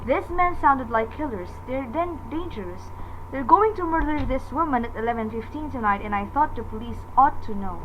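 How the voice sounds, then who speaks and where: Woman acting a high-pitched, fretful, imperious wife. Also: lively intonation, normal speed, muffled recording, slight background noise. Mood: indignant. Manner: fretful, indignant, pleading. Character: anxious, imperious invalid wife, demanding and easily exasperated. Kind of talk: radio drama acting.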